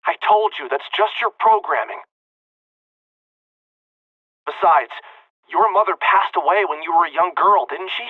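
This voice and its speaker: Radio-filtered, exasperated young programmer. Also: male voice, sits high, a little slow, pauses often, dry recording. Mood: grim, pressing. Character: exasperated, probing young programmer speaking from real world. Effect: radio-like transmission filter. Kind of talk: anime game voice acting. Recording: thin phone-like radio filter, distant.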